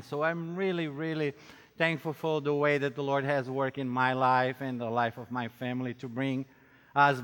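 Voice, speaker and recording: A man's voice: on the high side, a bit bright, clear, resonant tone. A middle-aged man from Brazil with a Brazilian accent, a native Portuguese speaker, preaching to a conference as a pastor and addressing listeners directly in a joking, self-deprecating way. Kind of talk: conference sermon with audience interaction. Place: large hall, speaking to a conference audience. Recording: reverberant hall sound.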